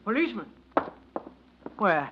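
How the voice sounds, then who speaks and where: Jittery miser in muffled old radio. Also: male voice, sits high, sing-song, unhurried, a little hiss or hum. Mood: flustered. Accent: American. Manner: stammering, rough-spoken, ungrammatical. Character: miserly, uneducated, nervous working-class husband. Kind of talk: 1940s-50s American radio drama acting. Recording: dull lo-fi vintage radio recording.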